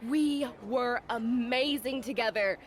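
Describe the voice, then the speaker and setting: An actress playing a hot-headed, vengeful young female pro wrestler in a video game cutscene, excitable, emphatic and colloquial. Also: fairly high pitch, takes it slowly, slight background noise. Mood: excited, defiant.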